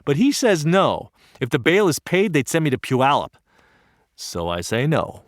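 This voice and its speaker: An actor narrating as an earnest young student, telling his story in an earnest, wry and lively way. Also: on the high side, measured pace, dry recording, clean studio sound. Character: earnest young Japanese American male student. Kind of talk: one-man stage play narration.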